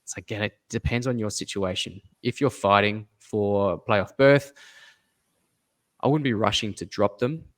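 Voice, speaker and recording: Low-voiced man analyzing fantasy basketball. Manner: measured, repeats advice, thinks aloud with fillers. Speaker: fantasy basketball podcast co-host. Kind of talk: casual podcast analysis.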